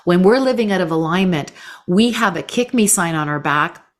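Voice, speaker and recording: A woman's voice: fairly low pitch, lively intonation, moderate pace. A woman hosting a spiritual talk show, speaking in an effusive, affirming manner. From Canada. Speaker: show host.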